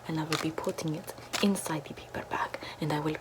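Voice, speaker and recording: A young woman doing a parody ASMR role-play, whispering in a deadpan, mock-mystic way into a close camera in a small bedroom. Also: low voice, fairly quick, heavy background noise. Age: young adult. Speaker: ASMR creator. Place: small bedroom with floral backdrop, indoors.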